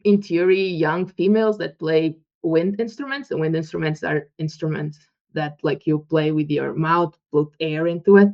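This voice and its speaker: A woman's voice: low voice, moderate pace. Portuguese lady in her 30s chatting as a guest, acts out what people said, kinda dull lo-fi audio. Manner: animated storyteller, acts out quoted dialogue, laughs often. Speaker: guest researcher. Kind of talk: casual interview chat. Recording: muffled lo-fi audio.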